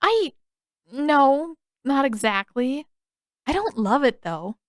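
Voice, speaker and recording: Woman as young boy, high-pitched, eager, earnest, curious, innocent, storybook character, dry recording. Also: sing-song, slow pace, dry recording, full character voice. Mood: hesitant. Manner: hesitant, questioning, earnest.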